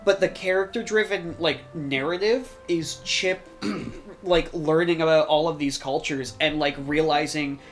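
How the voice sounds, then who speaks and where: A podcast co-host chatting casually about games in a halting, explanatory, nerdy way. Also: medium pitch. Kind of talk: casual gaming podcast chat. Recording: music playing under the voice.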